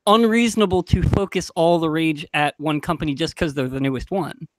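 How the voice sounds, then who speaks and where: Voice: mid-range voice, fairly quick, no room sound. A man speaking as a panelist in a casual discussion, frank and informal with a dry turn of phrase. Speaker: podcast panelist.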